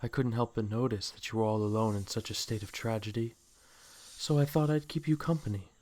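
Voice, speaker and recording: Guy doing a smooth talking snake man villain, possessive suitor, flowery and coaxing, comic dub style. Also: lowish voice, fairly quick, darkish tone, close to an everyday voice. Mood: tender coaxing. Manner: flowery, coaxing, condescending endearments. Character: suave, possessive snake man courting his captive love. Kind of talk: fan comic dub acting.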